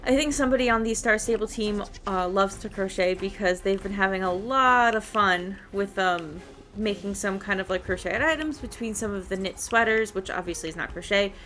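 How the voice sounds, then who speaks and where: A woman giving casual, excitable gameplay commentary as she plays. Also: medium pitch, moderate pace, a little hiss or hum. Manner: excitable, gushing. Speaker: gamer, channel host. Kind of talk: casual gameplay commentary, reading quest dialogue aloud.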